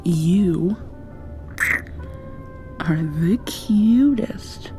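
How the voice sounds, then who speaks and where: A woman doing an ASMR roleplay, drifting between whispers and soft speech in an affectionate, playfully scolding way. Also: lowish voice, expressive, extremely slow, soft background music.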